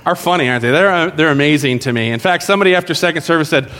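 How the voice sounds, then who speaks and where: A man's voice: fairly high pitch, rapid-fire, fairly bright tone, long reverb tail. A pastor speaking to his congregation in a casual, joking sermon style. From South America. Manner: jokey, conversational, addresses congregation directly. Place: church sanctuary.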